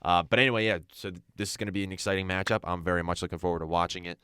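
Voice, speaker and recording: A man's voice: deep voice, steady tone, rapid-fire, fairly bright tone, clean tone, no room sound, studio quality. A young man co-hosting a sports radio show, chatting casually and giving his opinions. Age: young adult.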